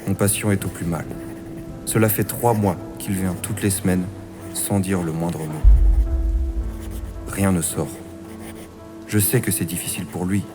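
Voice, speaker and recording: A man playing a calm, concerned therapist in an audio thriller, speaking in a measured, reassuring and earnest way. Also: deep voice, music in the background. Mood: grave, worried. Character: calm, concerned male therapist treating a grieving patient. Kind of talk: dramatic audio thriller acting and narration.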